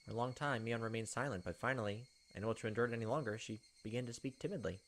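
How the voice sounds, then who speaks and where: A young man hosts a gaming video at a quick clip. He reads story text aloud, then slips into casual commentary of his own. His pitch sits in a middle range. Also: slight background noise. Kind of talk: reading a visual novel aloud with casual asides.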